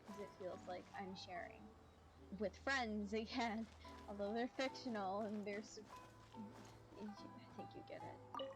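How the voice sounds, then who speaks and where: A woman with a mid-pitched voice comments casually over a game. She talks in an earnest, rambling way, thinking aloud as a streamer. Music plays clearly underneath. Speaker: gaming streamer.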